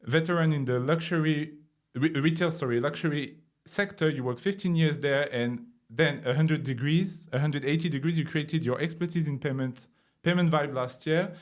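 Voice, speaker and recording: A man's voice: moderate pace, no echo, clean studio sound. Playful French-accented podcast host, man. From France. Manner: playful, lively delivery with sudden pitch jumps. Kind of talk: scripted podcast intro and interview.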